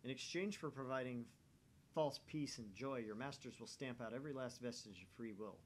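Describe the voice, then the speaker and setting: A man's voice: mid-range voice, brisk, slight background noise, lightly acted. A man voicing a cryptic, earnest time traveler from a dystopian future in a visual novel, formal and portentous.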